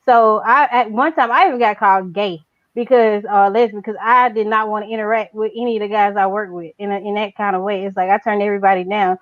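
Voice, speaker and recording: A woman chatting casually, her sentences running on and looping through fillers. Her naturally quiet voice is pushed a little louder than usual. The sound is dull and lo-fi with a dry, close feel. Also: mid-range voice, steady tone, fast, no room sound. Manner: talks in long run-on stretches with many fillers; says her natural voice is very quiet but trains herself to speak louder. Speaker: guest. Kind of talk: casual chat.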